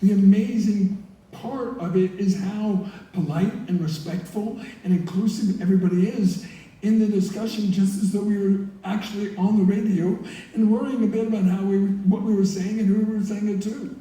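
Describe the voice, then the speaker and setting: A man's voice: high-pitched, steady tone, normal speed, dark tone. A man answering questions as a guest in a live interview, speaking in an earnest, enthusiastic way. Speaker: guest, listener group founder. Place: heard through theatre speakers by live link. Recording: very echoey hall, far from mic.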